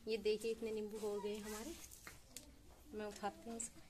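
A woman's voice: medium pitch, monotone, moderate pace. A woman in her twenties chatting casually in a village vlog, showing things to the camera from a phone held at arm's length. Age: 20s. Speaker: vlogger. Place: outdoor village courtyard and rooftop. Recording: clear outdoor background noise.

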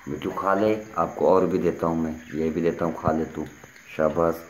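A man's voice: deep voice, fairly even intonation, moderate pace. A man chatting to his viewers while coaxing his pet birds in an outdoor yard, filmed on a handheld phone. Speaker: animal vlogger. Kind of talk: casual vlog narration to viewers. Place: outdoor yard by bird cages. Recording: roomy phone audio with loud yard noise.

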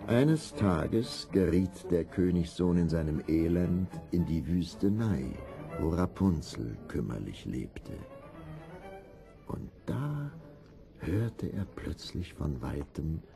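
A male storyteller narrates a fairy tale with drama. His deep, creaky voice is mellow and moves unhurriedly, over faint music. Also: very animated, takes it slowly.

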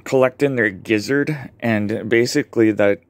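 A man's voice: mid-range voice, measured pace, no room sound. Rambling man in a dry basement. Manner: rambling and hesitant, many ums and self-corrections. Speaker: home worm composting vlogger. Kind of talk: casual show-and-tell demonstration. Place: basement, indoors. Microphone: handheld camera mic, filming his own hands.

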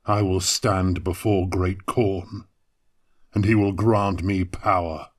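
Vengeful dark fantasy warrior, artificially lowered deep voice, ponderous pace, solemn, ambitious, audio fiction. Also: male voice, steady tone, takes it slowly. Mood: grim resolve. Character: grim ambitious Chaos warrior craving godhood and vengeance. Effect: pitched down.

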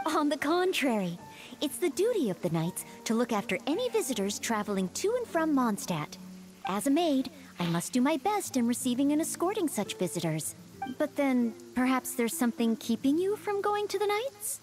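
High, earnest young maid. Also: female voice, sing-song, moderate pace, faint music behind. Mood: polite, dutiful. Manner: formal, courteous, gentle, dutiful. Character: polite, earnest young maid devoted to serving guests. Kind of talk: scripted video game voice acting.

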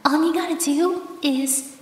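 Yandere ex-girlfriend, high voice, slow, sugary yet commanding, possessive, menacing, horror audio roleplay. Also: fairly even intonation. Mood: sweetly possessive. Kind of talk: ASMR horror roleplay acting. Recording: echoey, long hall-like reverb.

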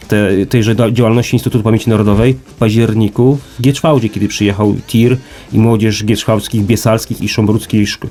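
A man's voice: lowish voice, dark tone. A man speaking as an institute representative in an interview, explaining formally and emphatically with rhetorical repetition and lists.

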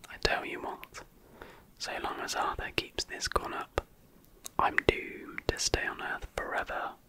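A woman voicing the ghost of a murdered wife trapped in a mirror, whispering in a raspy, broken voice. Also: measured pace, lightly acted. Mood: sad. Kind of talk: whispered horror storytelling.

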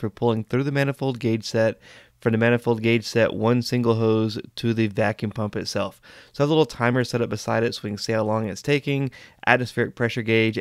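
A man narrating an HVAC lesson in a plain, step-by-step way, pausing now and then with an "uh". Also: medium pitch, no echo, studio quality. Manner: plain, step-by-step explaining with occasional "uh". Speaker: HVAC instructor. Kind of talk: explanatory voice-over narration.

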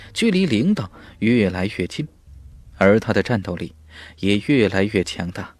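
Male audiobook narrator, dramatic and emphatic, lively intonation, dry close sound. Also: on the low side, no room sound.

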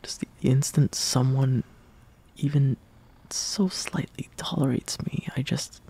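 A young man performing an intimate roleplay monologue as a lovestruck, insecure friend, in a hesitant, breathy half-whisper that trails off. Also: medium pitch, fairly even intonation, slow pace, mellow. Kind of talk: soft intimate roleplay monologue, partly improvised.

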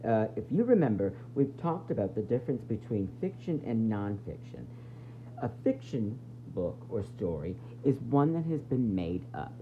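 A woman's voice: extremely low pitch, big pitch swings, normal speed, narrow, tinny audio, background noise. A woman teacher reading a children's nonfiction book aloud, expressively explaining it and putting questions to young listeners.

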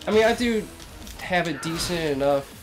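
A man's voice: high-pitched, animated, moderate pace, over music. A young man with a cold-stuffed nasal voice, joking casually with a friend while playing a fighting game at home. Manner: playful, sings silly lines, yelps during fights. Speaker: gamer. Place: indoor room, on webcam.

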